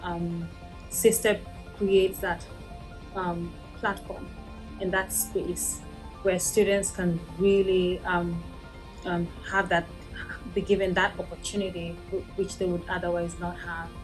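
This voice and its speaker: A woman professor from Kenya, explaining her research in a measured way with frequent pauses and ums. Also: fairly low pitch, flat delivery, faint music behind, rough recording. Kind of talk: interview about research.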